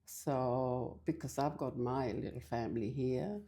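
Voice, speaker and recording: An older woman from Fiji, talking in a halting, hesitant way as a guest in a casual church interview. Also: rumbling low, warm tone. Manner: hesitant, halting with many restarts.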